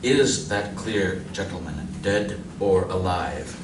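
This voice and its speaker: A man's voice: sits low, slow pace. A man acting a stern, commanding army general in a live radio drama. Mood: stern, resolute. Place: library community room, live performance. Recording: echoey community room, distant, noisy.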